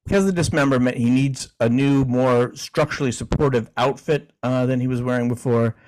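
A man co-hosts a podcast in an easygoing, chatty way, reading out definitions and chuckling along. His voice sits in a middle pitch range. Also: fairly quick, background noise. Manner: easygoing, chuckling, frequent restarts. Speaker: podcast co-host. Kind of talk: casual chat, reading definitions aloud.

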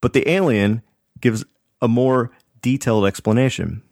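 Male podcast host, mid-pitched, jokey and slangy, casual storytelling, dry close recording. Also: moderate pace, gravelly, darkish tone, no echo.

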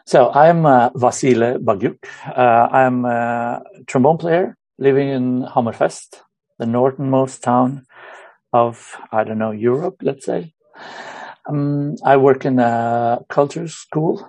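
Romanian-accented man, middle-aged, halting and searching for words, mellow tone, dry close sound. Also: medium pitch, no room sound. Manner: hesitant, many pauses and fillers. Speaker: guest musician. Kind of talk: casual interview.

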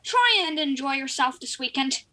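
A woman's voice: high-pitched, lively intonation, normal speed, bright, forceful tone, slight background noise. A young woman acting in an audio drama as an eccentric, chatty, oblivious older lady who loves cats, in an airy, musing, theatrical manner. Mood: kindly, musing. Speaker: student performer.

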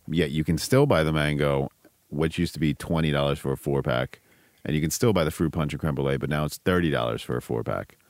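A man's voice: rumbling low, darkish tone, dry recording, clean studio sound. A man co-hosting a podcast in casual chat, explaining things in a matter-of-fact way. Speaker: podcast co-host.

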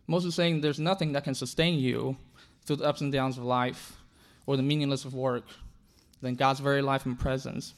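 A man's voice: mid-range voice, steady tone, normal speed. Guy from china giving a sermon, english not his first language, earnest, keeps restarting words. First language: Chinese. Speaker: pastor.

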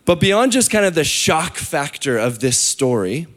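A man preaching a sermon as pastor, hoarse from losing his voice, talking candidly with fillers and restarts. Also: fairly high pitch, big pitch swings, a little slow, fairly bright tone. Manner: candid, self-deprecating, many fillers and restarts.

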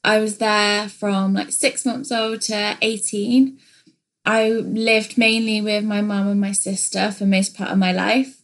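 A woman's voice: medium pitch, little pitch movement, unhurried, a bit bright. A young woman from England, co-hosting a podcast in a casual, open, chatty way with many fillers. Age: young adult. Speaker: podcast co-host.

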